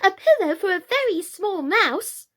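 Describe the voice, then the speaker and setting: A woman voicing a tiny, eager little boy doll in a children's storybook, excitable in manner. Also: very high-pitched, measured pace, voice pushed up, heavily acted. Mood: excited.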